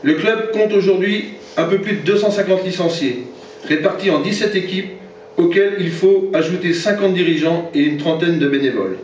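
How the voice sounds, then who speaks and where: French club president, formal read-out speech, muffled, distant recording. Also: male voice, high-pitched, normal speed, a little hiss or hum. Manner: formal, reads from notes, stumbles and corrects himself. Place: reception hall. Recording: very echoey hall from far off, dull sound.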